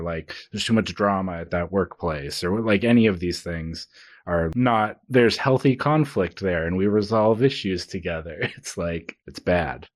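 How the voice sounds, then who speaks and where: Low-voiced male podcast co-host. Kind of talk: conversational podcast discussion.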